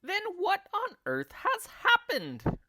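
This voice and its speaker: A man's voice: extremely high pitch, huge pitch swings, slow pace, sharp tone, voice pushed up, heavily acted. A man voicing a gullible, frightened old wife in a storybook, exclaiming fretfully and drawing out words. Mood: bewildered.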